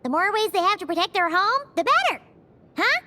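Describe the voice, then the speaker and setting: A woman's voice: extremely high pitch, sing-song, brisk, bright, forceful tone, heavily acted. A woman voicing a small, cheerful, chatty fairy companion in an anime video game, childlike and blunt. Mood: curious. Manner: bubbly, refers to self by name.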